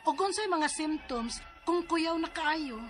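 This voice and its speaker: Woman, high voice, anxious letter writer, soft music behind. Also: slow pace. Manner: worried, pleading. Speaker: letter sender and drama lead. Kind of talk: radio drama and letter reading.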